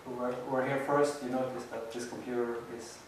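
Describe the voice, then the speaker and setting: A man hosting a workshop, relaxed and chatty with the participants. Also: medium pitch, fairly quick, a little hiss or hum. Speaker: workshop host. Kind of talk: casual chat. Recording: echoey room from far off.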